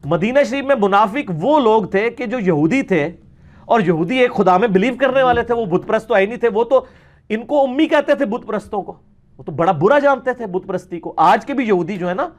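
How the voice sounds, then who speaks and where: A man's voice: high voice, lively intonation. A man with a Pakistani Punjabi accent giving an informal religious lecture, arguing in colloquial language with rhetorical questions and some English words mixed in.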